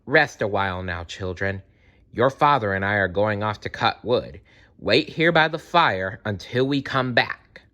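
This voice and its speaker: A man's voice: lowish voice, animated, a little slow, bright, forceful tone. A man voicing a cold, impatient mother in a storybook, curt and dismissive. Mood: cold, commanding. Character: cold, impatient, cruel mother of two children. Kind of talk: storybook character voice in a read-aloud.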